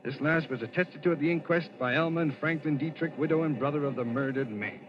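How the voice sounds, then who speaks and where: A man's voice: a little high, quick pace, loud music behind the voice, close to an everyday voice. A muffled vintage radio recording carries an actor playing a hunted man accused of murder. He is brooding and insistent, with clipped, tense delivery in the manner of a 1940s thriller. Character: desperate amnesiac man on the run, accused of murder.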